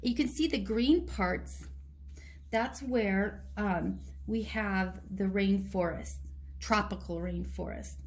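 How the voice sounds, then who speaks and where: Slow-paced woman reading a picture book. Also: medium pitch, a little hiss or hum. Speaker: children's story reader. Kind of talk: picture-book read-aloud with asides.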